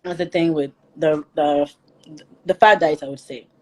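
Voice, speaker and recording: Low-voiced young-sounding Ghanaian-born woman, chatty. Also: fairly even intonation, normal speed, darkish tone. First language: Twi. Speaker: interview guest. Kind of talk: casual chat.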